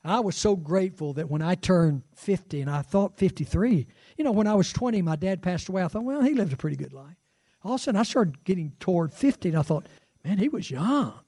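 A man's voice: sits high, animated, brisk, mellow, dry recording, clean studio sound. A man in his sixties preaching a sermon as pastor, conversational and fervent, with rising exclamations. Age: 60s. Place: church sanctuary.

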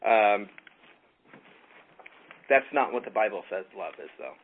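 Man, from California, low voice, casual Bible teacher, halting, ums, tinny line, faint hiss. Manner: relaxed, halting with frequent ums.

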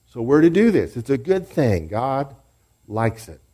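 A man's voice: mid-range voice, sing-song, unhurried, dark tone, husky. A man preaching and teaching a congregation in a church, leading them in call-and-response and group praise. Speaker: pastor. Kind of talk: sermon teaching.

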